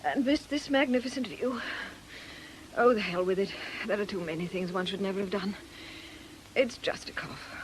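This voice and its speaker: A woman's voice: medium pitch, normal speed, background noise, lightly acted. A woman playing an ailing, middle-aged fortune teller in a radio drama, scornful and theatrical. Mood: wry, weary. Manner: world-weary, scornful, declamatory.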